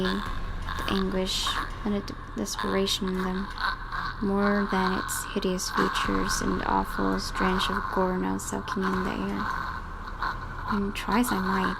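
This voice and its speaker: A woman reading a visual novel aloud as dramatic first-person narration, often hushed at phrase ends and halting over words. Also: medium pitch, vocal fry. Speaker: let's player reading a game aloud. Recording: noisy home recording with clicks.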